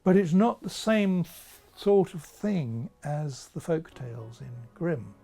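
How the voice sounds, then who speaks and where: A man's voice: fairly high pitch, lively intonation, slow, creaky, warm tone, faint music behind. A man speaking as an author in an interview, reflecting thoughtfully with a storyteller's careful phrasing.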